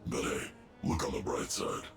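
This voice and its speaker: A man playing a coldly condescending, menacing crime boss in a video game, with smug mock sympathy and a gravelly voice. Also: extremely low pitch, normal speed. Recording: roomy echo, music behind. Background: game music.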